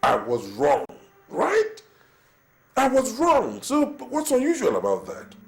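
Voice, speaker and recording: A man's voice: very high-pitched, huge pitch swings, slow pace, soft background music, full character voice. A man acting a husband who defends himself against accusations, indignant and defensive. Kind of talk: melodramatic film acting.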